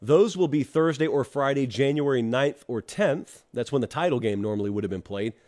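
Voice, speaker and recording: A man's voice: mid-range voice, animated, fairly quick, darkish tone. A middle-aged man hosting a live sports talk show, his voice stuffed up and clogged from allergies. He talks in a loose, chatty way and drops dry jokes aimed at his live chat. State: congested from allergies. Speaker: college football show host. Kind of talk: live-streamed solo talk show with ad read.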